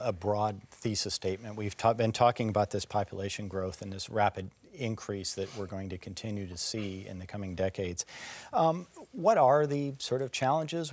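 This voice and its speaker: A middle-aged man hosting a public TV discussion program. He reads scripted introductions and asks the guests questions in a measured, clear way. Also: lowish voice, animated. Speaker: TV news host. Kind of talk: scripted presenting and interview questions. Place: TV studio set. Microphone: lapel.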